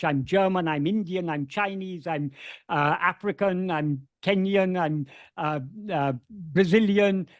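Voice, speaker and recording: A man's voice: high voice, dry recording, clean studio sound. A man answering questions about spiritual teachings over a video call, earnest and restarting words as he searches for phrasing.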